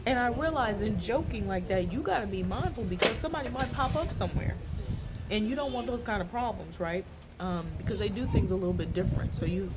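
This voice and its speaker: American lady doing a casual travel vlog, mid pitch, emphatic advice, background noise pretty noticeable. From United States. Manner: informal, emphatic, advisory. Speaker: travel vlogger. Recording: clear background ambient noise.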